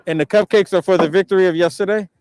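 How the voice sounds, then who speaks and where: A man's voice: sits high, steady tone, very fast, darkish tone, smooth, dry recording, low-quality recording. A man live streaming on the street, eagerly urging viewers to hit the like button. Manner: upbeat, pushy calls for likes. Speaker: street livestreamer.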